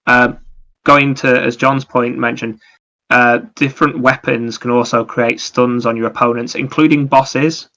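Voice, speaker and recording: Bright-voiced man, evenly pitched. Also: level tone, a little slow. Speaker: podcast co-host. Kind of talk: casual video game discussion.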